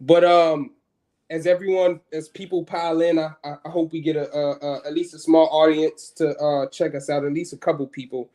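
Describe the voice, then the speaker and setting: A man's voice: fairly high pitch, little pitch movement, moderate pace, mellow. A man in his twenties chatting casually on a livestream in a laid-back way, full of slang. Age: 20s.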